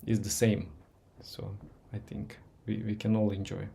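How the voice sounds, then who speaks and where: Gentle Russian-accented man with a mellow voice. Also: fairly low pitch, flat delivery, creaky, breathy edge. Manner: slow, pausing, gentle, with many "uh"s and repeats. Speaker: meditation teacher. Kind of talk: live Q&A session with viewers.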